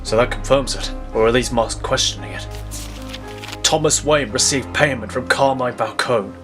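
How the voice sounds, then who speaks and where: An actor playing a stern, loyal male district attorney, firm and measured, in an audio drama. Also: medium pitch, over music, natural voice. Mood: firm, troubled.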